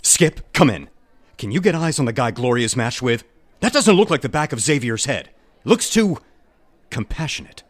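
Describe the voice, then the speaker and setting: An actor playing a jealous, insecure, self-important agent with a huffy, melodramatic manner in a comedy audio drama. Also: male voice, mid-range voice, sing-song, normal speed.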